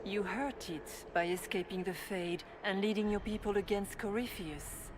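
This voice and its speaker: Solemn spirit woman over noisy game rumble, voiced by an actress. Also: lightly acted. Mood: solemn, guiding. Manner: measured, solemn, formal. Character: calm spirit in the form of an elderly holy woman. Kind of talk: video game cutscene acting.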